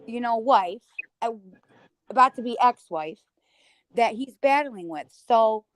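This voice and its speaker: Higher-pitched woman chatting casually. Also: expressive, takes it slowly, dry recording, low-quality recording. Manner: emphatic, conversational, peppered with "you know" and "girl". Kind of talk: casual livestream chat.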